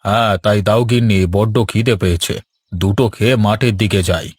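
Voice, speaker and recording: Man doing kind farmer husband in a cartoon, lowish voice, close dry sound, considerate. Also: brisk, no room sound, natural voice. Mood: gentle, agreeable. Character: gentle, considerate hardworking village farmer husband. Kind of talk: Bengali cartoon voice acting.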